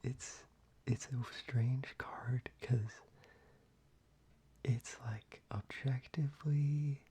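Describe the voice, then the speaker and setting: An ASMR creator whispering softly and closely, with frequent ums and restarts while showing their collection. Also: mid-range voice, highs cut off, slight background noise.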